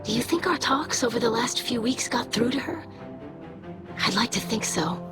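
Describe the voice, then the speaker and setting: An actress playing an earnest young female alien scribe in a game, gentle and sincere. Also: fairly high pitch, lively intonation, moderate pace, close to an everyday voice. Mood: grateful, sincere. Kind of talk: heartfelt game monologue. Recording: loud music under the voice. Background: music.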